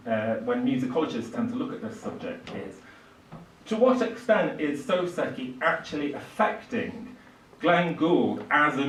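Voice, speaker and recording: An older man giving a public lecture in a halting, hesitant way, with frequent pauses. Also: medium pitch, a little hiss or hum. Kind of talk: public lecture, lightly improvised. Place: small indoor lecture room. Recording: echoey room, distant microphone.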